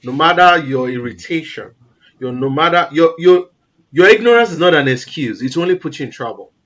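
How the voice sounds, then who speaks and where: A man from Nigeria with a naturally loud voice, talking as an interview guest in an animated, emphatic way. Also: on the high side, fairly quick. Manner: animated, emphatic, repeats words for stress. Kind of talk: casual interview conversation.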